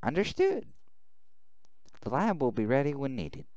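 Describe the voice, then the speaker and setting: A man's voice: huge pitch swings, measured pace, darkish tone, dry recording, highs cut off. A man voicing an obedient, scheming military subordinate in a visual novel, in a put-on voice. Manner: raised, put-on pitch.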